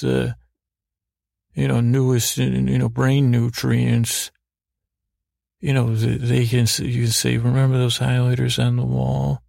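A man hosting a bedtime podcast, rambling whimsically in a halting, meandering monologue with repeated half-words. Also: mid-range voice, gravelly, darkish tone, no echo, clean studio sound. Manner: whimsical, mock-serious. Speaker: podcast host. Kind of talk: rambling bedtime monologue to help listeners sleep.

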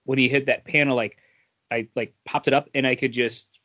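A man co-hosting a casual review podcast, speaking conversationally and often pausing mid-sentence to find his words. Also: mid-range voice, fairly even intonation, pushed and bright, lo-fi sound. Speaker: podcast co-host reviewing comic books.

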